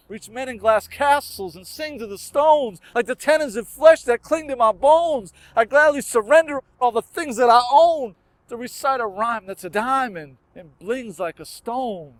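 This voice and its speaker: A man's voice: very high voice, no room sound. A man performing rhymed spoken word poetry with a rhythmic, emphatic and earnest delivery.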